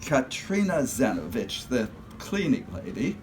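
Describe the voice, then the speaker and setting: A man's voice: mid-range voice, very animated, measured pace, background noise. Hesitant man playing a polite club employee. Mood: helpful, hesitant. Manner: hesitant, stammering, formal. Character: polite, nervous male club employee, evasive and servile. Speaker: actor. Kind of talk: comic noir radio-play acting.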